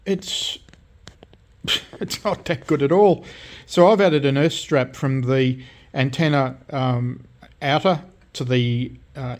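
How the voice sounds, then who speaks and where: Halting man explaining radio repairs. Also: fairly high pitch. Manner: halting, many ums and self-corrections; technical, hands-on explaining. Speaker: radio restorer. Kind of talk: casual workshop show-and-tell.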